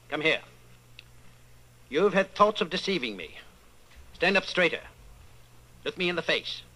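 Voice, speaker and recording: Radio drama villain, male actor: domineering male inquisitor, controlled menace, animated and sharp-edged delivery. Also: fairly high pitch, slight background noise, exaggerated voice, low-quality recording. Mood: stern, commanding. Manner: deliberate, commanding, interrogating. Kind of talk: old-time radio drama acting.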